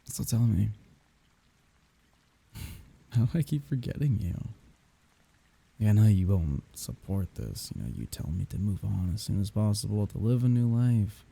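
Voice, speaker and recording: Husky, dark-voiced grieving man acted. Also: sing-song, exaggerated voice. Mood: aching, tender. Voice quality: husky, breathy, gravelly low voice. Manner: wry, tender, halting, half-murmured. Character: grieving man bidding farewell to dead lover. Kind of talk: intimate ASMR roleplay audio drama.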